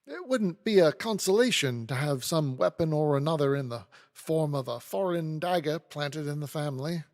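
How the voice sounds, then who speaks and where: A man's voice: on the high side, expressive, moderate pace, darkish tone, voice pushed up. A man voicing a timid, anxious, apologetic man who pleads with great deference. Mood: anxious pleading.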